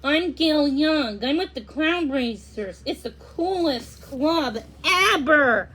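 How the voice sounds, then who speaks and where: A woman's voice: high-pitched, animated, takes it slowly, a bit bright, pitched above the performer's own voice, exaggerated voice. A woman voicing a grumpy, boastful twelve-year-old girl in a storybook, curt and dismissive. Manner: snappy, drawling, dismissive.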